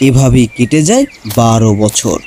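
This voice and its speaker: A man's voice: mid-range voice, sing-song, moderate pace, mellow, soft background music. A man narrating a scripted children's fairy tale as a storyteller.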